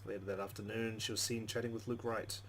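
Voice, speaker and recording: A man's voice: fairly low pitch, little pitch movement, fast talker, a little hiss or hum. A man hosting a let's play, reading visual novel narration aloud and adding casual commentary.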